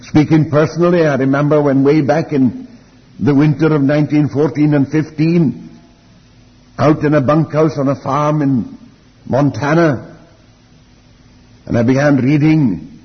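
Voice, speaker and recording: Elderly preacher, muffled in a reverberant hall. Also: male voice, a little high, steady tone, husky. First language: Welsh. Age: older, elderly. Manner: deliberate, reflective, with rhetorical questions and repeated phrases. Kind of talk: Bible teaching sermon.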